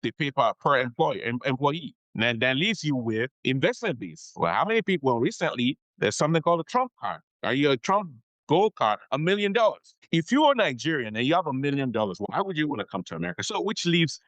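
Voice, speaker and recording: A man's voice: mid-range voice, very animated, a little fast, fairly bright tone, no echo. A man being interviewed on a podcast as an immigration attorney, explaining things patiently, repeating his key advice and often checking in with "okay?".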